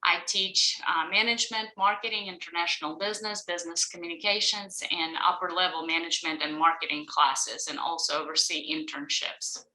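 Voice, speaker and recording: A woman's voice: on the low side, steady tone, fairly quick, few pauses, sharp tone. A woman of about forty from Ukraine with a Ukrainian accent, giving a personal account as an interview guest on a video call. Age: around 40. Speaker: interview guest, college professor. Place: indoor room at home or office, on a video call. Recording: roomy, echoing video call.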